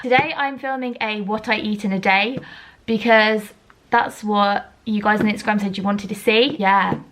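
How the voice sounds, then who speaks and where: A young woman of about twenty, chatting to camera at home in a rambling, self-deprecating way, her voice still slightly croaky. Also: medium pitch, fairly even intonation, moderate pace, background noise. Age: around 20. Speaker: vlogger. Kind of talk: casual chat to camera.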